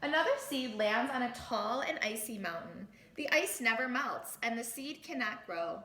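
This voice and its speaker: A woman reading a storybook aloud to children in an expressive teacher's way, adding little asides, in an echoey room. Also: on the high side, moderate pace. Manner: animated, exclaims and comments on the story.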